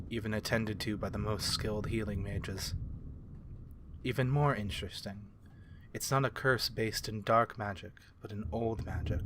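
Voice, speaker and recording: A man voicing a courteous, learned vampire in an ASMR fantasy roleplay, speaking formally and with measured, archaic phrasing. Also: fairly low pitch, a bit bright, slight background noise. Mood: grave, intrigued. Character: courteous, learned, human-friendly male vampire curse-breaker.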